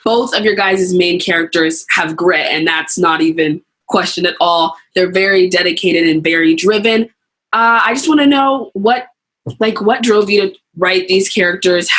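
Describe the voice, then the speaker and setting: A woman's voice: medium pitch, a bit bright, rough recording. A young adult woman moderating an author interview, casual and upbeat. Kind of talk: interview questions.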